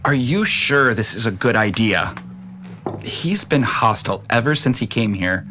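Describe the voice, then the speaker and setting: A man's voice: mid-range voice, expressive, measured pace, noisy background, close to an everyday voice. An actor playing a supportive but doubtful family man, plain-spoken and questioning, in an audio drama. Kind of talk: naturalistic audio drama dialogue.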